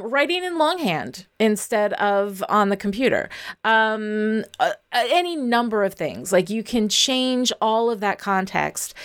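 Woman coaching in casual conversation. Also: medium pitch. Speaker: writing coach.

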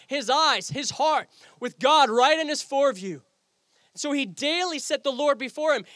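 High-voiced pastor preaching, Canadian accent. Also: male voice, fairly bright tone, no room sound. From England. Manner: earnest preaching, repeats and restarts phrases. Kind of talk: sermon. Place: outdoor service.

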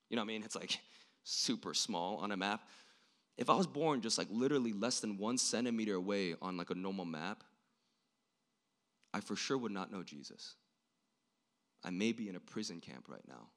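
A young man preaching a sermon in an informal, slangy way, swinging between hushed and impassioned delivery. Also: lowish voice. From born in South Korea. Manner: informal, youthful slang, frequent "like" and rhetorical questions.

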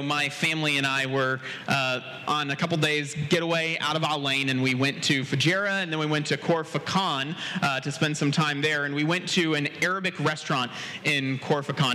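A man from America preaching a sermon as pastor, telling stories with quoted dialogue and a pace that speeds up and slows down. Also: a little high, pushed and bright, a little hiss or hum. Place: church sanctuary. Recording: long hall reverb.

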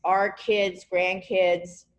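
A woman's voice: fairly low pitch, level tone, very slow. Rambling, encouraging woman on a video call. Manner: rambling, encouraging, searches for words. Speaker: podcast host. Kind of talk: casual chat over a video call.

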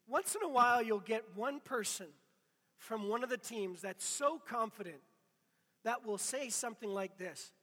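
A hoarse middle-aged man preaching in a loose, conversational way, stumbling and restarting as he goes. His voice sits high and bright for a man. It carries a long reverb from a church hall. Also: moderate pace. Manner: conversational, self-deprecating, many restarts. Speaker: pastor. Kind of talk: casual sermon.

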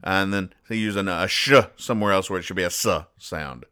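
A man hosting a podcast, explaining jokes in a mock-serious, deadpan and over-explaining way. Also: low-pitched, brisk, smooth. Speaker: podcast host.

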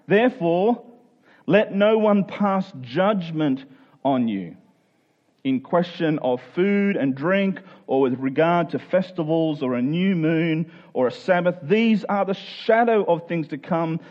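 A man's voice: on the high side, lively intonation, slow, darkish tone, muffled recording. A man preaching a sermon in plain conversational words, earnest, posing rhetorical questions and restating phrases for emphasis.

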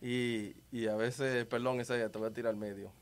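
A man's voice: medium pitch, fairly even intonation, normal speed, a little hiss or hum. A man from the Dominican Republic with a Dominican Spanish accent, speaking conversationally and emphatically about religion, repeating key words for stress.

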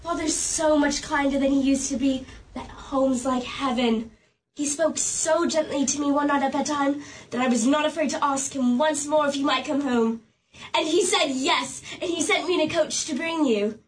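An actress playing a sweet, eager young girl, the hero's little sister, speaking excitedly in a radio play. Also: high voice, moderate pace, distant mic, slight background noise, close to an everyday voice, low-quality recording. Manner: excited, pleading.

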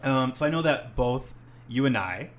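A man's voice: medium pitch, lively intonation, moderate pace, slight background noise. A young man hosting a casual chat, rambling with many "um"s and "you know"s. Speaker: host, English teacher.

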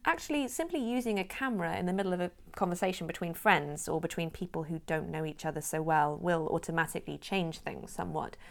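Thoughtful woman film director, lowish voice. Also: fast, gravelly, hardly any pauses. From Europe. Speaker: film director, interview guest. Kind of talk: interview answers.

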